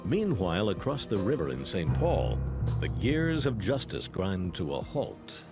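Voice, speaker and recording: A man narrating a true-crime documentary in a scripted, dramatic and deliberate style. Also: lowish voice, big pitch swings, creaky, over loud music.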